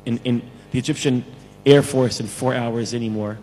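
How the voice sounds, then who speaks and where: A man from Israel giving an emphatic lecture to a conference plenary, repeating key phrases for effect, with a husky voice. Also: medium pitch, steady tone, moderate pace, dark tone, big-room sound, slight background noise, rough recording. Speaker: conference speaker. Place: large conference hall.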